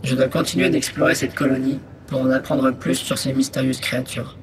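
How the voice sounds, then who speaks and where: A man playing a small, methodical exploration robot in a sci-fi audio drama, speaking in a measured, matter-of-fact way. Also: mid-range voice, fairly even intonation, very noisy, lightly acted. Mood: focused. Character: small exploration robot, methodical, dutiful scout reporting findings. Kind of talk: scripted sci-fi audio fiction monologue.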